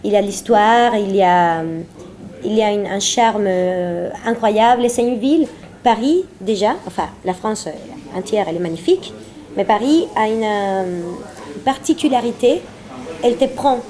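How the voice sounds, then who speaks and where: A woman's voice: medium pitch, normal speed, mellow. A woman from Venezuela speaking French as a second language with a Spanish accent, chatting playfully as an interview guest and often repeating phrases for emphasis. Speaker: guest, singer-songwriter. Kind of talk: casual, playful interview chat.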